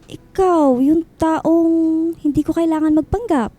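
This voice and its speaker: A woman's voice: extremely high pitch, measured pace, dark tone. A woman with a Filipino accent playing a cheerful, teasing young woman chatting with her best friend in a radio drama. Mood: happy, affectionate. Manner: playful, casual.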